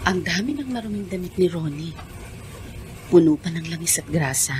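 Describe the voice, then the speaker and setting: An actress playing a wounded grown daughter in an emotional Filipino radio drama. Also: female voice, lowish voice, lively intonation, normal speed, background noise, close to an everyday voice. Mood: busy, matter-of-fact. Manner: emotional, reproachful. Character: bitter, wounded grown daughter, a hardworking housewife.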